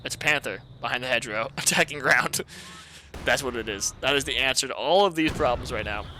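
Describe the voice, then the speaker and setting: A man casually commentating a strategy game replay, excitable and laughing often, talking to his chat, his voice a bit hoarse. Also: mid-range voice, brisk, a little hiss or hum. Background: video game gunfire and artillery.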